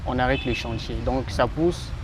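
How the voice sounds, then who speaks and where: A man's voice: medium pitch, moderate pace, noisy background. Thoughtful man interviewed outdoors. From Republic of the Congo. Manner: thoughtful, hesitant with many fillers. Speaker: architecture student. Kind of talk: interview answers. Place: outdoors in a city.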